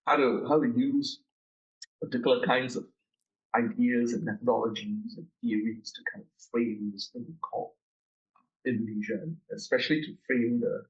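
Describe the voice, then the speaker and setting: A man explains his fieldwork in a loose, conversational way, often stumbling, restarting and repeating small words before he finds the thought. His voice sits at an ordinary pitch, and the recording is slightly dull and lo-fi. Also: vocal fry. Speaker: researcher guest. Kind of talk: conversational interview.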